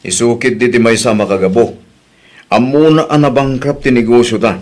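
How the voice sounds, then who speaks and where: Troubled, chiding husband , male actor, Ilocano accent, medium pitch, homemade drama style. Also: moderate pace, darkish tone, lightly acted. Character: troubled husband in debt, chiding his wife. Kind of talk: amateur audio drama acting.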